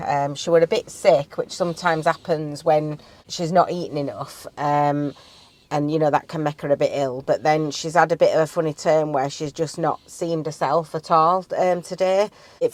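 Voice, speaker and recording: A woman's voice: sits low, darkish tone, no echo. A young woman chatting casually in a travel vlog, with a cold, rambling with lots of ums. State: has a cold. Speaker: vlogger.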